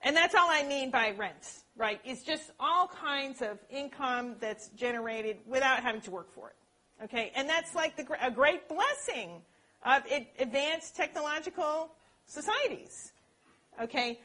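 A woman lecturer giving a talk and answering questions, animated, often checking in with the audience by asking "okay?". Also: high voice, a little slow. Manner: animated, peppers points with "okay?" tags.

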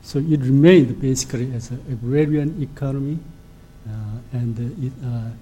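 A middle-aged man from Korea with a Korean accent, an ambassador giving a formal lecture in a halting, soft-spoken way. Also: medium pitch, slight background noise. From South Korea. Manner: halting, with frequent hesitations.

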